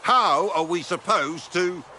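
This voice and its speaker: A man's voice: sits high, slow pace, pushed and bright, slight background noise, exaggerated voice. An actor playing an indignant, demanding male mage in a video game, complaining in an insistent manner. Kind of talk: dramatic video game dialogue.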